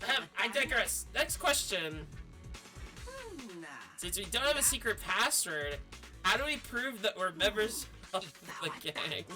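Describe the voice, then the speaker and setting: A self-important little robot guard from a game read out by a streamer, chatty and full of questions. The voice is bright and lively over game music. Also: medium pitch, unhurried. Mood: officious. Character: officious, chatty Bangbu robot gang guard.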